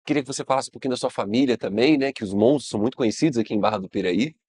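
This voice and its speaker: A man's voice: medium pitch, rapid-fire, a little mellow, husky. A man hosting an interview, asking open questions and adding brief friendly backchannels. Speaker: interviewer, host. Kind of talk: conversational interview.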